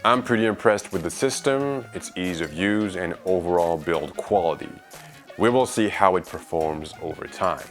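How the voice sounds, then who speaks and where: Male vlogger, low voice, presenting gear reviews over background music. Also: moderate pace. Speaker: video creator and reviewer. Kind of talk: product review vlog narration to viewers.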